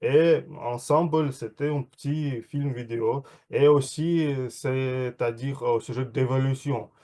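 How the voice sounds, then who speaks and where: A man's voice: mid-range voice, steady tone, unhurried, dark tone. A man giving a spoken evaluation at an online speaking club, hesitant with many fillers. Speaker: meeting evaluator.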